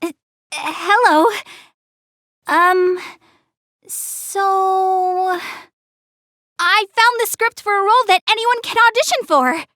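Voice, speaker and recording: A woman's voice: very high-pitched, takes it slowly, long pauses, pushed and bright, no room sound, studio quality, heavily acted. An actress voicing a proud but secretly shy young goddess girl in an English video game dub, easily flustered and prone to defensive stammering. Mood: nervous, insistent.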